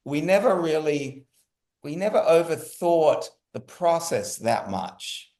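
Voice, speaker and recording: A man from Australia, an interview guest explaining things casually and emphatically, restating himself to be clear. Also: fairly high pitch, sing-song, slow pace, darkish tone. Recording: roomy echo on the voice.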